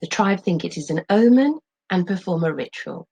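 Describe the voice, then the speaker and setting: A middle-aged woman teaches and reads aloud with expressive phrasing. Her voice sits a little low, with a husky edge and a lively, moving pitch. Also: moderate pace. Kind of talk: explaining lesson and expressive story reading.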